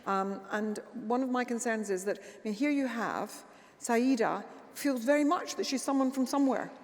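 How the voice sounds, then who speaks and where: A woman's voice: a little high, moderate pace, a little mellow, long reverb tail. A woman chairing a live panel debate, often stumbling and repeating words as she starts a thought. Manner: hesitant, repeats words before getting going. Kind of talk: moderating a live panel discussion.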